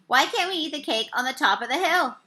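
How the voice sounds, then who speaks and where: A woman's voice: high-pitched, expressive, a little fast, bright, forceful tone. A woman voicing a bossy, wry but patient beagle scout leader in a children's storybook. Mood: eager, exasperated. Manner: instructing, exclaiming, sometimes sarcastic. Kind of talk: children's storybook read-aloud.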